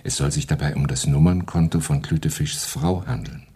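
An actor playing a smug, scheming wartime SS camp official with a husky, rough voice in a German radio play. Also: male voice, rumbling low, steady tone, fairly quick, dark tone, heavily acted. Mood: confidential, scheming.

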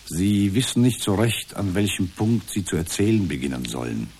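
An actor playing an unflappable, formally polite therapist in a satirical radio drama, speaking in measured, stilted counselling phrases. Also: male voice, sits low, sing-song, a little mellow, a little hiss or hum. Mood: formally soothing. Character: unflappable automated male therapist, formally polite, echoing the patient.

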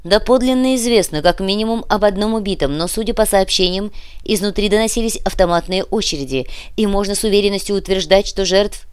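Woman playing an urgent, high-pitched radio newsreader. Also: fairly quick, clear, resonant tone, no echo, close to an everyday voice. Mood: urgent, alarmed. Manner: raised, emphatic, formal bulletin delivery. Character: urgent radio news reporter announcing breaking news. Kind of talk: news bulletin acted within an audiobook.

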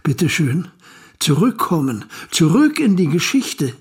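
Old chinese scholar guy, slow and thoughtful, highish voice, radio play. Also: very animated, heavily acted. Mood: insistent, reflective. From China. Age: 80s. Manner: measured, reflective, deliberate. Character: elderly Chinese retired scholar, reflective, settled, quietly content. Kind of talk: documentary radio drama monologue. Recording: roomy echo, music behind.

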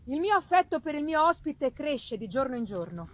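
A woman reading a literary novel aloud as dramatic narration, measured and expressive, with deliberate pauses. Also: high-pitched, a little hiss or hum. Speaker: reader of a novel. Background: live musical accompaniment announced for the reading.

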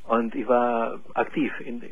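Halting gravelly Chilean man on a call-in line. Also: mid-range voice, level tone, narrow, tinny audio, background noise. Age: 40s. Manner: hesitant, halting with many fillers. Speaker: caller. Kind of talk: call-in radio conversation.

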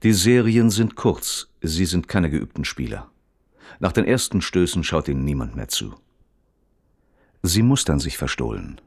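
Radio drama narrator, low-pitched, detached and observant, precise, dry wording, varied intonation. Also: male voice, big pitch swings, measured pace, darkish tone, slightly rough, full character voice.

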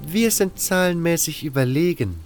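An actor playing a cold, condescending baron who gives orders in a fantasy audio drama, with music underneath. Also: male voice, on the high side, expressive, normal speed, close to an everyday voice. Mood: cool, calculating. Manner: condescending, scornful. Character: cold, haughty male baron giving orders.

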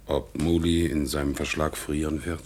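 Sad, meek war invalid and husband, bass-deep, brooding delivery for a vintage radio play. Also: male voice, fairly even intonation, normal speed, a little mellow, slight background noise. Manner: plaintive, brooding. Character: melancholy one-legged war veteran, meek husband. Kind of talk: 1960s German radio play acting.

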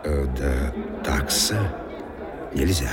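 An actor playing a stolid, servile doorman in a radio drama, halting and deferential in his speech. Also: a bass voice, over loud music, a loud crowd, heavily acted. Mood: stubborn, evasive. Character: stolid, servile old-fashioned male doorman of a gentleman's house.

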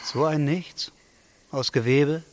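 A man's voice: a little high, slow pace. Hesitant, lighter-voiced mild man. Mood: subdued, hesitant. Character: Max, introverted, tidy, mild-mannered man on the run. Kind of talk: naturalistic radio drama acting.

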